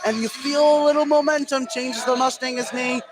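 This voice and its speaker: A man's voice: very high voice, fairly even intonation, fairly quick, music in the background. A man doing live radio play-by-play of a basketball game, running through the action in the present tense and lifting his voice on big plays. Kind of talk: live radio sports commentary. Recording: clear background noise, live gym ambience. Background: ambient noise of a basketball game.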